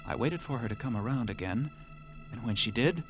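An actor playing a lighthouse keeper narrating a sea tale in a measured, vivid, dramatic radio-drama style. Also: male voice, mid-range voice, lively intonation, highs cut off, over music, lightly acted. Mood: suspenseful. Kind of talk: classic radio drama first-person narration.

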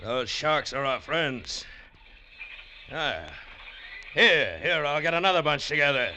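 An actor playing an unhinged, morbid and excitable lighthouse keeper in theatrical classic radio drama style. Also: male voice, fairly high pitch, exaggerated voice. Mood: manic glee. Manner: theatrical, excitable, drawn-out delivery. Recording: noisy vintage radio recording.

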